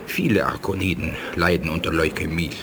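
An actor in a 1980s German radio play playing a dignified, ailing male alien who speaks in a measured, formal and assured way. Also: rumbling low, background noise. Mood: calm, courteous.

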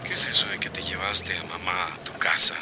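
An actor playing a resentful, confrontational brother who is quick to blame, in a radio soap opera. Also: male voice, sits low, steady tone, noisy background, lightly acted. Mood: accusing.

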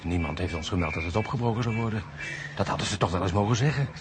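An actor playing an affable, deferential pub keeper in a radio drama, chatting politely and in a folksy way. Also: male voice, on the low side, fast, natural voice. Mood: puzzled, grumbling. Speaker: actor in a supporting part. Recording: clear background noise.

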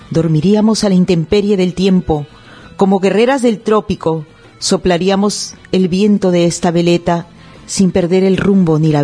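A woman's voice: on the low side, mellow, husky. A woman speaking on a radio show, in a poetic recitation or as a panelist.

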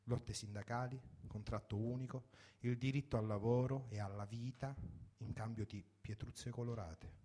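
A man's voice: medium pitch, steady tone, normal speed, warm tone. A man reading a first-person story for radio in a reflective, wry manner, in short sentences. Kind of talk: dramatic literary reading for radio.

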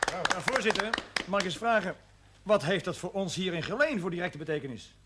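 An actor playing a sceptical, plain-spoken union member in a television drama, questioning and grumbling. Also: male voice, high-pitched, lively intonation, a little fast. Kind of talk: 1970s television drama acting. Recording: noisy old television soundtrack.

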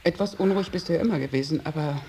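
A woman's voice: low voice, very fast, dark tone, a little hiss or hum. Plaintive, anxious mother. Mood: reproachful. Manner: plaintive, pleading, scolding. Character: anxious, reproachful mother of a wayward adult son. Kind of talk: German radio drama acting.

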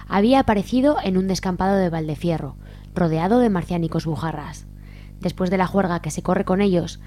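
A woman's voice: lowish voice, dark tone, slight background noise. A woman with an accent from Spain, reading scripted narration for a radio serial. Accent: Spanish, from Spain.

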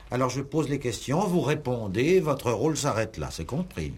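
An actor playing a stern, impatient examining magistrate in a 1960s radio play, curt and condescending. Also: male voice, medium pitch, big pitch swings, normal speed, darkish tone, husky. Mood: irritated. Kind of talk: 1960s radio drama acting.

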